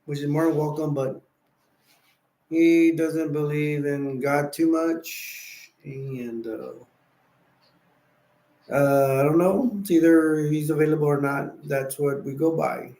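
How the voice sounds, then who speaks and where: A tired, sleepy man hosting a casual late-night live stream, rambling and giving viewers shout-outs. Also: a little high, steady tone, slow. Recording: echoey room.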